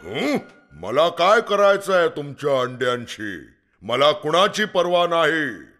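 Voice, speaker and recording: A man voicing a huge, proud and arrogant male elephant in an animated children's story, talking in a gruff, dismissive, slow way. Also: on the high side, soft background music, full character voice. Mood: scornful.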